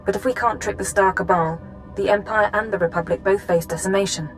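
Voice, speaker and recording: A woman playing a grave, driven intelligence chief in a sci-fi game, briefing in a measured, formal way. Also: medium pitch, fairly even intonation, faint music behind, natural voice. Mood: controlled, somber. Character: grave, driven female intelligence chief, controlled under strain. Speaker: mission handler in a sci-fi game. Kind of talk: dramatic game voice acting.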